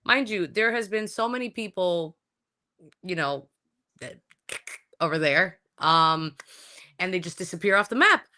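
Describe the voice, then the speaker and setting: A woman from Colombia, a wrestler, telling a story casually as an interview guest in an animated, halting way with emphatic repetition. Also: on the low side.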